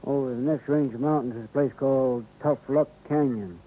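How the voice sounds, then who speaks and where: A man's voice: mid-range voice, fairly even intonation, close to an everyday voice. A man acting as a stubborn Western country sheriff in a 1950s radio drama, with an American accent and a folksy, confident manner. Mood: folksy, cautionary. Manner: folksy, rustic, unhurried confidence. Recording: tinny, faintly noisy vintage radio.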